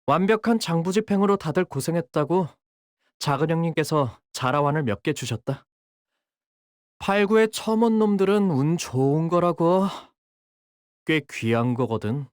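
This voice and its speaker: A man's voice: on the high side, animated, moderate pace, no room sound, studio quality, lightly acted. A young man voicing a video game character, a dutiful young syndicate soldier who speaks formally and can turn coldly menacing. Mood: casual, pleased. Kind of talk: acted character voice lines.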